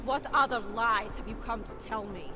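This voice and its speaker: A woman's voice: on the high side, normal speed, music in the background, close to an everyday voice. A woman playing a bitter, distraught wife. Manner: bitter, accusing, upset. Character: distraught wife of a detained man, bitter and suspicious. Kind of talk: video game cutscene acting.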